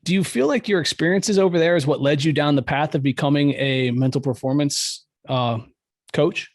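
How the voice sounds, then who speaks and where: A man's voice: on the high side, moderate pace, no room sound, studio quality. A man hosting an interview podcast, friendly and affirming, leading into questions with long setups. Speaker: podcast host. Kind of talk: casual interview.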